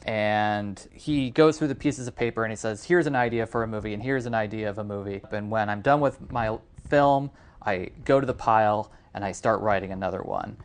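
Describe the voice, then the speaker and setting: A man's voice: lowish voice, fairly quick, clear, resonant tone. A man co-hosting a podcast, giving his opinions on films in a casual discussion. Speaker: podcast co-host reviewing films.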